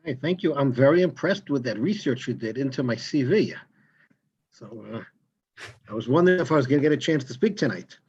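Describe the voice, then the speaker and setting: Fast-talking New York man on Zoom. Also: mid-range voice, animated, darkish tone, husky. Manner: quick, chatty, informal. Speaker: guest speaker, tour guide. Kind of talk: Zoom lecture. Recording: dull lo-fi Zoom audio.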